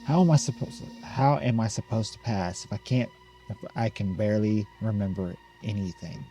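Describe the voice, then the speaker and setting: A man's voice: lowish voice, moderate pace, mellow, soft background music, lightly acted, low-quality recording. A man voicing a worried, earnest mother in a horror game, coaxing and questioning.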